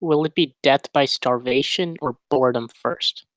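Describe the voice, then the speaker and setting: A woman's voice: very deep voice, normal speed, dry recording. A woman reading the part of a gloomy, hungry, wisecracking young kid, sarcastic, at a screenplay table read.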